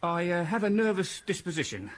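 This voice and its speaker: A fretful British theatre servant in a radio play. His fairly high voice moves restlessly up and down, hesitant and eager to defer, with faint noise behind. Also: sing-song, fairly quick. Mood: uneasy. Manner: agitated, hesitant, servile. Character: nervous, deferential male theatre dresser, evasive. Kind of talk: radio drama acting.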